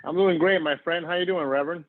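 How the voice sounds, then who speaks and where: A man's voice: on the high side, fairly even intonation, fast talker. A man from northern Argentina talking casually as an interview guest, hesitant and digressive. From northern Argentina, moved to the US at ten. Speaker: interview guest, nonprofit executive director. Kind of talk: casual conversational self-introduction. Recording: phone-like, narrow radio-style call audio.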